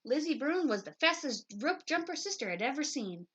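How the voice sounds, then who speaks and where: Lady reading a kids book aloud, highish voice, really lively intonation. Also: normal speed. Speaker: picture book reader. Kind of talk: expressive children's story narration.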